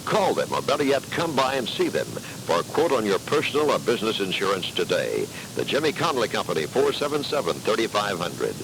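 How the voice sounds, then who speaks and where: American ad announcer guy, lowish voice with lots of swing, noisy radio tape. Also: wildly swooping pitch, brisk, creaky, hardly any pauses. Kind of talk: scripted local radio commercial read. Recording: noisy taped radio broadcast.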